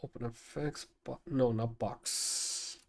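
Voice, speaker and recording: A man with a nasal, mid-pitched voice chats loosely on a livestream. He rambles and restarts his sentences as he works things out out loud. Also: steady tone, takes it slowly, gravelly. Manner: rambling, thinks aloud with many restarts. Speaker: streamer. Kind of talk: casual livestream talk while editing video.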